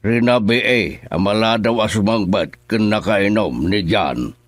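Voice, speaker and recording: An actor playing a grave, observant elderly father in a radio drama, speaking in a measured way. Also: on the low side, soft background music, natural voice. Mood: grave, concerned.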